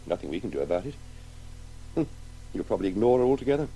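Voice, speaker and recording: An actor playing a distracted, grieving middle-aged English husband with a halting delivery in a radio drama. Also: male voice, on the low side, big pitch swings, dark tone, faint music behind, low-quality recording. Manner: absent-minded, halting. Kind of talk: 1980s radio play acting.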